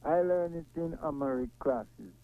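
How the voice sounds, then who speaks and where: A man's voice: a little high, a little slow. A man with a Jamaican accent chatting casually in an earnest, agreeable way, heard through a tinny, narrow recording. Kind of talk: casual chat. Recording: tinny, phone-like narrow sound.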